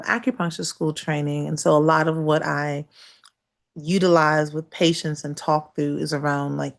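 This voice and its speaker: A woman's voice: sits low, gravelly. An American woman giving a casual, educational livestream talk, speaking directly to listeners in a conversational way with rhetorical questions. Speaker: acupuncture practitioner hosting a live wellness talk.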